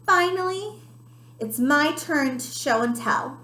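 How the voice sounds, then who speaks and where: A woman voicing an earnest young child who narrates a picture-book story with expressive emphasis. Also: high voice, slow, exaggerated voice. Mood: eager. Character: earnest, dramatic young child telling a pet goldfish story. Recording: roomy, echoey sound.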